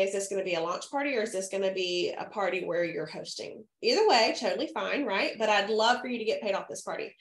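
A woman in her thirties, a little hoarse from a cold, coaching her sales team over a webcam in an upbeat way, often checking in with the listeners. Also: a little high, a bit bright. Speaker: direct sales team leader. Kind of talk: training talk to a sales team. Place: home room, indoors. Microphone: webcam on a video call. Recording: echoey room.

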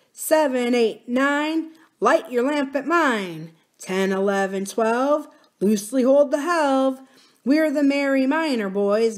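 A woman's voice: high voice, voice pushed up. A woman voicing a merry, defiant miner boy in a sing-song rhyme from a storybook. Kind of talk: sing-song counting chant.

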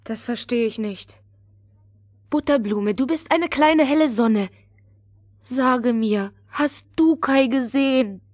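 Earnest young girl, high pitch, measured pace, dry studio fairy-tale record. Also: a little mellow, no room sound. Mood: questioning.

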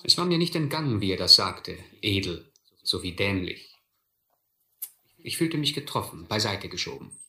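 An actor as a reflective first-person narrator recalling a boyhood adventure in a German radio play. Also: male voice, fairly low pitch, sing-song, moderate pace, pauses often, sharp tone, reverberant, heavily acted.

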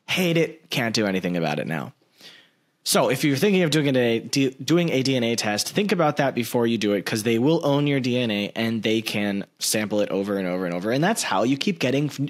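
A man in his thirties hosting a podcast, talking in an animated way into a large studio mic in a small room at home. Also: medium pitch. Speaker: podcast host. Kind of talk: casual interview talk. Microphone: large studio mic with headphones.

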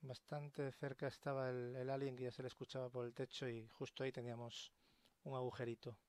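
A man's voice: medium pitch, little pitch movement, brisk, a little mellow, no echo. A man with a Castilian Spanish accent giving casual, sweary gameplay commentary, muttering to himself as he plays. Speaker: let's player. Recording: clear game ambience noise behind. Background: sci-fi horror game sound.

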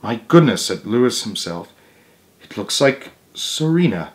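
A young man with a mid-pitched voice reads a children's story aloud. His delivery is expressive storytelling, broken by casual asides. He is at home in a living room, picked up by a camera a little way off. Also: unhurried. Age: young adult.

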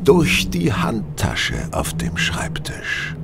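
A man playing a confident, brilliant gentleman detective in an audio drama, speaking precisely and courteously, as if lecturing. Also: medium pitch, wildly swooping pitch, gravelly, loud music behind the voice, full character voice. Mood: grave, assured. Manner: precise, measured, didactic, courteous. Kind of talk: German audio drama acting.

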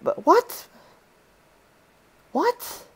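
A teenage boy from Poland with a Polish accent, joking and rambling as he plays a game and comments. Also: very slow. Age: teens. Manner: joking, rambling, reads game lines in put-on voices. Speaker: gaming YouTuber. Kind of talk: casual let's play commentary.

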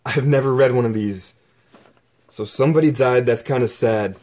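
A young man narrating his own exploration vlog, excitedly exclaiming over what he finds while filming up close with a handheld camera. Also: medium pitch, normal speed. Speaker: exploration vlogger.

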